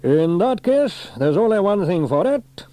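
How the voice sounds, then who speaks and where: A cheerful, steady firefighter hero, voiced by a male narrator in a storybook. His voice is lifted a little, warm-toned and reassuring, calm under pressure. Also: high-pitched, a little slow, dry recording, voice pushed up.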